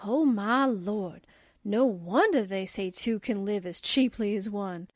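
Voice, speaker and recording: A woman's voice: medium pitch, takes it slowly, a little mellow, no room sound, studio quality. A woman playing a brash, wide-eyed newspaperwoman sidekick, slangy and exclaiming, in 1940s radio drama style. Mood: awestruck.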